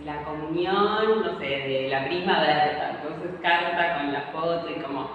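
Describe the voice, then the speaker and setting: A woman's voice: sits low, measured pace, highs cut off. A woman, answering interview questions in a hesitant way, with many fillers and restarts. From Argentina. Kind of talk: casual interview talk. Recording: echoey room, far from mic, faint noise.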